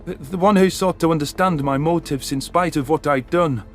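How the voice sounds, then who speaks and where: A man's voice: on the high side, normal speed. A man narrating a science fiction story in the first person with dramatic delivery. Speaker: audiobook narrator. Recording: narration over background music.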